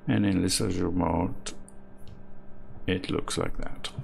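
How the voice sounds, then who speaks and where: A man slowly talking through his modular synth patch over a screen recording, halting with fillers as he points things out. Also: sits low, fairly even intonation, gravelly, slight background noise. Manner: slow, halting explanation with many fillers. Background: synth music playing underneath.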